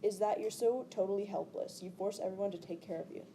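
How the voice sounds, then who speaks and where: A woman's voice: medium pitch, fast talker, dark tone, a little hiss or hum, natural voice. A woman reading the part of a bitter, sarcastic young male fugitive in a storybook. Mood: angry, sarcastic. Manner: sarcastic, accusing.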